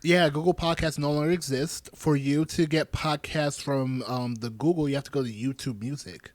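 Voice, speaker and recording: A man in his thirties hosting a podcast, chatting casually with animated, joking asides. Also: a little high, moderate pace, dry recording. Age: 30s. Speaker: podcast host.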